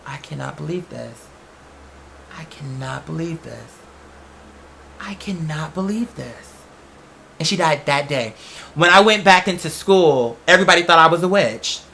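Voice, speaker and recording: A very bright, forceful voice at a middle pitch tells a personal story conversationally. The speaker is candid and informal, using slang and the odd swear word. Key phrases get repeated for emphasis.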